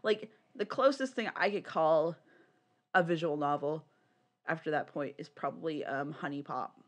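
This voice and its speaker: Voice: medium pitch, moderate pace. A podcast co-host chatting casually and swearing freely about a hobby. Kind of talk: casual podcast chat about visual novels.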